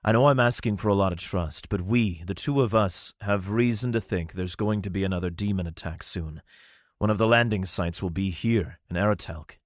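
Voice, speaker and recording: A man's voice: low-pitched, moderate pace, dry recording, clean studio sound, close to an everyday voice. A man voicing an earnest young male alchemist explaining his plans.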